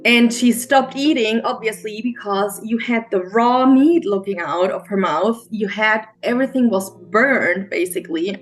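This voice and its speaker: A middle-aged woman with a German accent, a mindset coach, telling her story as an interview guest in a halting, earnest way with many restarts. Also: medium pitch, soft background music. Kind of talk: emotional personal storytelling interview.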